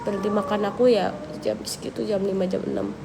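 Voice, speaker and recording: A young woman, slightly under the weather with a cold, talks casually to her viewers. Her voice has a gravelly, creaky edge. The livestream audio carries clear background noise. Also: medium pitch, a little fast. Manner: chatty, relaxed, thinks aloud with fillers. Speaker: livestream host answering viewers. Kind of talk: casual live chat reading viewer questions.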